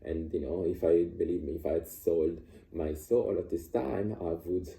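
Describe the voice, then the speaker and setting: Deep, dark-voiced man. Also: gravelly. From Italy. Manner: halting, many fillers and restarts. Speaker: vlogger sharing personal views. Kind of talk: casual unscripted monologue. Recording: echoey room.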